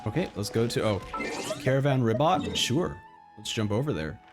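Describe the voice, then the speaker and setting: A man streaming a game live with casual, halting commentary, often repeating himself as he talks to his chat. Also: medium pitch, lively intonation. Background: music.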